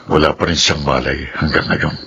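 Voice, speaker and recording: A man's voice: a bass voice, steady tone, moderate pace, vocal fry, pushed and bright, lo-fi sound, slight background noise, exaggerated voice. An actor playing a grave middle-aged stepfather in a Filipino radio drama, with a Filipino accent. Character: grave middle-aged stepfather, earnestly blaming his stepdaughter.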